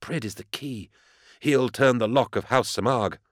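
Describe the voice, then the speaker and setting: A man's voice: medium pitch, unhurried, dry recording, clean studio sound, voice pushed up, natural voice. A man voicing an eager, earnest young inquisitor in an audiobook, with a gushing, deferential and hesitant manner. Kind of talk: audiobook character dialogue.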